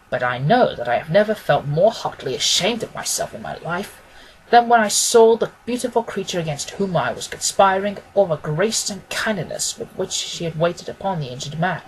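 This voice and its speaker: A man narrating a classic story as a scripted audiobook, in a measured, literary storytelling manner. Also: high-pitched, slight background noise, poor audio.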